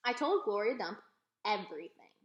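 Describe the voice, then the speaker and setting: A woman reading a children's novel aloud in an expressive storytelling style. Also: mid-range voice, moderate pace, dull audio, a little hiss or hum. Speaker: read-aloud reader. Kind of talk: expressive children's book narration. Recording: echoey, roomy sound.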